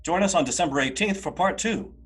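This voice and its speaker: A man narrating a radio play as a scripted, dramatic storyteller, with a slightly husky voice. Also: fairly high pitch, expressive, fast, a bit bright, faint music behind.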